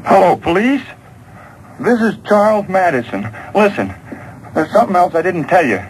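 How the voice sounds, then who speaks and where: High-voiced, frightened little stooge. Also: male voice, very animated, normal speed. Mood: nervous. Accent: American. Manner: stammering, pleading. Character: frightened, weaselly small-time stooge, whining and nervous. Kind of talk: old-time radio drama acting. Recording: noisy old radio show taped off air.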